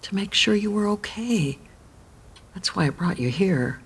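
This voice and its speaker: A woman playing a kindly, cryptic elderly widow in an audio drama, gentle and measured with a husky, creaky voice. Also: medium pitch, lively intonation, exaggerated voice. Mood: calm, cryptic. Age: older.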